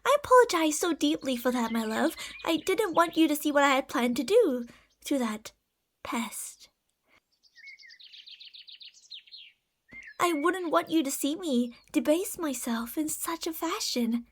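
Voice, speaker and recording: A woman's voice: high voice, expressive, normal speed, slight background noise. A woman voicing a possessive, delusional lamia monster in an ASMR roleplay, speaking in a haughty, theatrical, sweetly gaslighting way. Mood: hushed, creepy.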